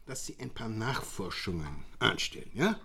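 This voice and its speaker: An actor playing an excitable, self-assured client in a radio drama. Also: male voice, fairly low pitch, moderate pace, creaky, breathy edge, full character voice. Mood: smug.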